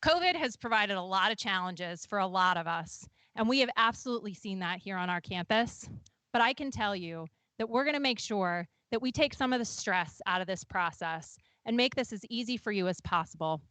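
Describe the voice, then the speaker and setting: A woman's voice: medium pitch, animated, fairly quick, sharp tone, no room sound. A woman admissions director giving an upbeat, reassuring welcome to a virtual open house. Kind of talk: welcoming presentation.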